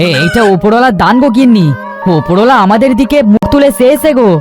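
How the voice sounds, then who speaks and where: A poor, weary older village father from a cartoon , acted by a woman, speaks plaintively with lively swings in pitch. He sounds devout, and music plays clearly behind him. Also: fast talker, natural voice. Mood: hopeful. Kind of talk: cartoon voice acting.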